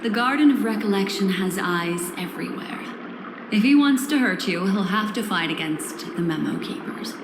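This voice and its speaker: Game role, female actor: enigmatic woman memory-keeper, deliberate and composed, medium register, echoey sound with noisy backdrop. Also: medium pitch, animated, close to an everyday voice. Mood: earnest, resolute. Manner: formal, deliberate, poised phrasing. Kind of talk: scripted video game character dialogue. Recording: roomy echo, loud background ambience.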